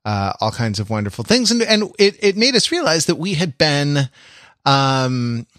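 A man's voice: fairly high pitch, very animated, normal speed, a bit bright, no room sound, clean studio sound. A middle-aged man co-hosting a podcast, chatting casually in a hesitant way and often repeating words. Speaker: podcast co-host. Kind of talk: casual podcast introduction and chat.

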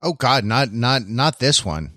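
A man's voice: mid-range voice, big pitch swings, measured pace, a bit bright. A man co-hosting a podcast in casual, bantering conversation. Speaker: podcast co-host.

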